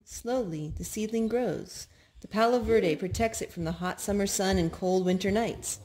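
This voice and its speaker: A woman in her thirties reading picture books aloud to young children at home, in an animated, child-directed way with drawn-out words. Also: mid-range voice, poor audio. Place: living room at home, indoors. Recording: home recording with background noise.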